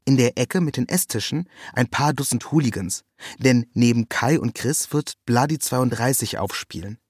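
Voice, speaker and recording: A man narrating a scripted first-person literary text in a measured, ironic manner. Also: mid-range voice, darkish tone, slightly rough, dry recording, clean studio sound.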